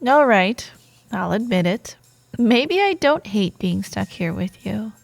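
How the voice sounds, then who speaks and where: A woman playing a proud, teasing yet wistful rival coworker in an intimate ASMR romance roleplay monologue, with playful banter, sighs and soft pauses. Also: medium pitch, very animated, gravelly, no echo, faint music behind, heavily acted, rough recording. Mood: teasing, softening. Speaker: audio roleplay performer.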